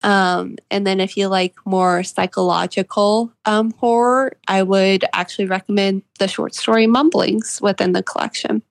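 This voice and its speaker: Woman guest author in an interview, earnest and measured, vocal fry, close dry sound. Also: medium pitch, steady tone, no room sound. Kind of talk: reflective interview conversation.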